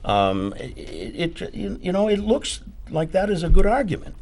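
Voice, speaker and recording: A man's voice: high voice, very animated, a little slow, creaky, darkish tone. A man who grew up in New Hampshire, co-hosting a talk show in an eager way, often repeating words as he starts. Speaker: co-host.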